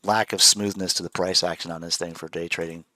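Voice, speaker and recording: Low-voiced man with a head cold. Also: brisk, no room sound. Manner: relaxed, rambling, frequent fillers. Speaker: show host, trader. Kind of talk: casual chart analysis chat.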